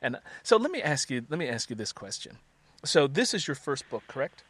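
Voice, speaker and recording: Male interviewer, conversational and wordy, medium pitch, very dry recording. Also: sing-song, a little fast, fairly bright tone, no echo.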